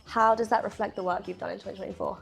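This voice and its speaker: Creaky-voiced woman interviewer, teasing. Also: medium pitch, big pitch swings, rapid-fire, faint music behind. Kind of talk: casual workplace interview.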